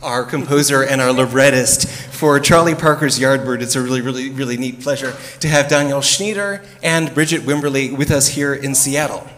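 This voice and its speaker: A man's voice: mid-range voice, moderate pace, hardly any pauses, a bit bright, a little hiss or hum. Chatty man moderating on a theater stage. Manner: chatty, many fillers and restarts. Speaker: moderator, opera dramaturg. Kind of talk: panel discussion moderating. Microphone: handheld mic. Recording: long reverb from the hall.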